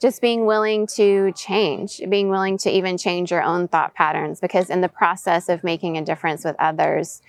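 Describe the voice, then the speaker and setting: A woman's voice: fairly low pitch, steady tone, moderate pace, few pauses, no room sound. A woman in her forties who was raised in Venezuela, answering reflectively as an interview guest in a casual conversation. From raised in Venezuela, US-born. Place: outdoors, in person.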